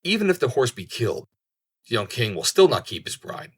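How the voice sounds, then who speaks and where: A man's voice: mid-range voice, big pitch swings, a little fast, breathy edge. A man voicing a knowing raven in a storybook tale, speaking in a dramatic, ominous and declaiming manner. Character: knowing raven foretelling doom to a companion.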